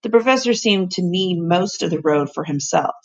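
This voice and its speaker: A woman reading a children's story aloud like a teacher, with measured, deliberate, expressive narration. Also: on the low side, lo-fi sound.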